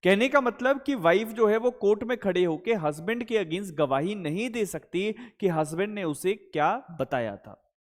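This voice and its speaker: A man's voice: sits high, expressive, normal speed. A man with an Indian accent giving an explanatory law lecture, posing questions and answering them in a didactic way, mixing in English legal terms. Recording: long hall-like reverb.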